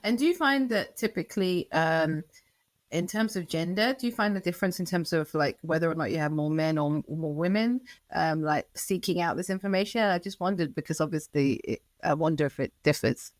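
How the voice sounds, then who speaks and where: A woman hosts a podcast conversation, circling around her questions with plenty of "sort of" and "like". Her voice is on the lower side, and it comes across close-miked and dry. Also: fairly quick, no room sound. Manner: rambling questions, many "sort of" and "like" fillers. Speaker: podcast host. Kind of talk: casual interview questions.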